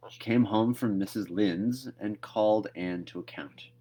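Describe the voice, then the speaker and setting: A man in his thirties with a fairly low voice. He hosts a read-aloud series, moving between casual chat and plain storybook narration. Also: measured pace, mellow.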